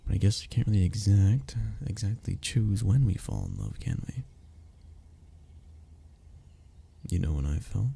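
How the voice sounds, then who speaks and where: A man voicing a young, self-deprecating romantic lover in an intimate audio roleplay. The voice is very low, mellow and husky, teasing softly and tenderly, sometimes dropping toward a whisper. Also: exaggerated voice. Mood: tender, wistful. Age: young adult.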